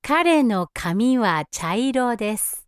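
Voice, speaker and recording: A woman reading scripted language-course prompts in a slow, careful, precise way. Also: on the high side, very animated, soft background music. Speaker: language course audio speaker.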